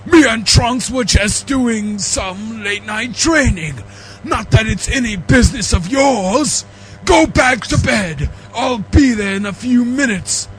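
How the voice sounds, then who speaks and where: A man's voice: very high-pitched, lively intonation, slow pace, faint music behind, voice pushed up, exaggerated voice. A man voicing a scornful alien warrior prince and father, haughty and barking, in an amateur comic reading. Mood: irritated. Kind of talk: amateur comic dramatic reading of fan fiction.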